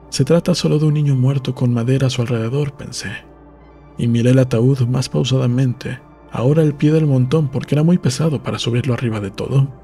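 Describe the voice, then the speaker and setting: Calm male audiobook narrator, mellow tone. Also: medium pitch. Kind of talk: reading a novel aloud in Spanish.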